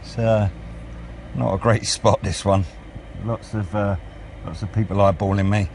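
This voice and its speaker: British male hobby vlogger, lowish voice, unhurried and low-key, recorded outside over clear background noise and vehicles. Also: fairly even intonation, a little mellow. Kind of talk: casual outdoor vlog chat. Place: outdoors on wooded high ground by a road. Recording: outdoor noise with passing vehicles.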